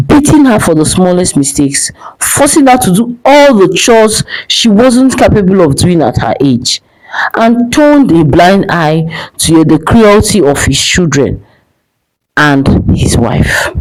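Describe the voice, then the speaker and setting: A woman's voice: lowish voice, very animated, a little slow, darkish tone, poor audio. A woman narrating a dramatic folktale as a storyteller, in a scripted style.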